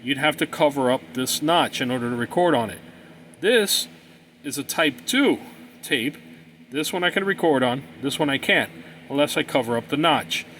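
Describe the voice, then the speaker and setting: A man's voice: medium pitch, moderate pace, a bit bright, a little hiss or hum. A man giving an informal hands-on tutorial in a plain-spoken, folksy, explanatory way. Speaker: hobbyist presenter explaining cassette tapes.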